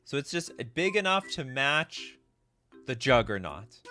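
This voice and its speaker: A man hosting a casual live stream, reading aloud and thinking out loud with frequent exclamations. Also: medium pitch, big pitch swings, a little slow, soft background music. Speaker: host of a card game live stream.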